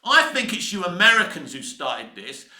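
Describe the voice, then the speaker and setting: An echoey room carries a very high, bright voice. It belongs to a British man in his fifties, ranting casually as a film vlogger. He is emphatic and exasperated, hammering his points over and over. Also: big pitch swings, moderate pace. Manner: emphatic, exasperated, swearing mildly, repetitive. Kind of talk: opinionated rant to camera, casual.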